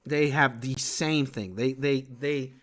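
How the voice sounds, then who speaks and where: A man's voice: medium pitch, slow pace. A man from Venezuela, a native Spanish speaker, chatting casually on a live stream about music and reading comments from chat aloud. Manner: lively, rambling, reads chat aloud.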